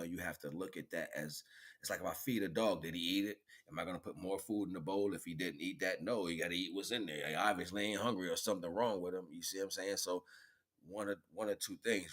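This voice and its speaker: A man delivering a rambling spiritual monologue in a halting, wordy way, mixing lofty terms with street slang and rhetorical questions. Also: rumbling low, a bit bright. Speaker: streamer giving spiritual talks.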